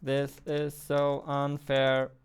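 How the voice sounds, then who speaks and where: A man's voice: mid-range voice, monotone, extremely slow, clean tone. A young man, a game developer, chatting casually while he codes, thinking aloud and joking at himself. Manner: thinking aloud, self-deprecating, chuckling. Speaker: game developer streaming his work. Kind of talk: casual live-stream chat while coding. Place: home room at a desk.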